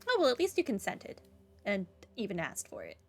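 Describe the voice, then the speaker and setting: A woman's voice: medium pitch, wildly swooping pitch, a little fast, creaky. A woman giving casual playthrough commentary, offhand and wry, narrating what she does as she plays. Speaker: game streamer. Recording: game music behind.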